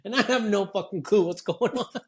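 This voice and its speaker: A man's voice: high-pitched, a little fast. A young man from Texas hosting a podcast in casual chat. He swears freely and laughs often. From Texas, USA. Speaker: podcast host.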